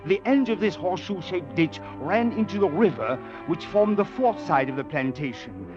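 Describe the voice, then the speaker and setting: An actor playing a troubled, fatalistic district commissioner, intense and brooding in an old-time radio drama style. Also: male voice, high voice, animated, moderate pace. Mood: narrating. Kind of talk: 1940s-50s radio drama narration and acting. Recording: muffled vintage radio recording, music behind.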